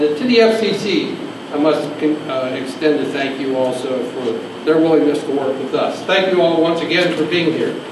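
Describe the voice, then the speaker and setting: A man's voice: on the high side, far from the mic. A man speaking as a county commissioner at a press conference, earnest and grateful. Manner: earnest, thanking partners. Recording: echoey room, mic from afar, noisy.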